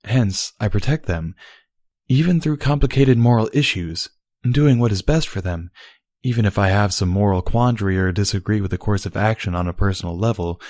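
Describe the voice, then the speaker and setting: A man voicing a female immortal pony princess, a formal and dutiful younger sister who argues in measured, stilted diction in a storybook reading. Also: on the low side, darkish tone, no echo, studio quality, lightly acted.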